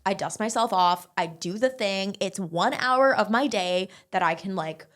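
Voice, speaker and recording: Chatty young woman, fast and filler-heavy. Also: medium pitch, a bit bright. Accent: English-speaking, non-French. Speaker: barre instructor, guest. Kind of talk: casual interview chat.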